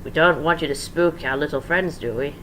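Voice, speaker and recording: A performer voicing a smug, menacing businessman with drawn-out, taunting politeness, in an amateur story reading. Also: mid-range voice, fairly bright tone, a little hiss or hum, natural voice, poor audio.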